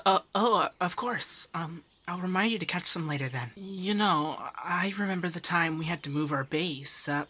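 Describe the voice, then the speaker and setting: A man voicing an affectionate, teasing femboy monster companion in a soft, breathy, shyly stammering ASMR roleplay. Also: fairly high pitch. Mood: thoughtful, fond.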